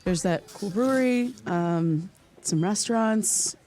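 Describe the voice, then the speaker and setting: A woman's voice: medium pitch, a little mellow, close to an everyday voice. A woman acting as an earnest, hesitant podcaster seeking answers in a naturalistic audio drama. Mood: awkward, friendly. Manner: halting, apologetic, polite. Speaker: lead actor playing a podcaster.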